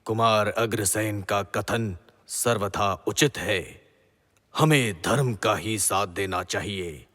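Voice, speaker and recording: A man acting a grave, righteous middle-aged king and father in a mythological audio drama, speaking in formal, measured pronouncements. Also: fairly low pitch, a bit bright. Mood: solemn, resolute. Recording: long hall-like reverb.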